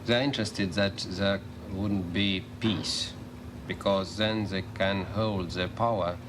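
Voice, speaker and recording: A man giving a documentary interview, speaking haltingly and searching for words in a second language. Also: low voice, steady tone. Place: home in Estonia. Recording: noisy old documentary film sound.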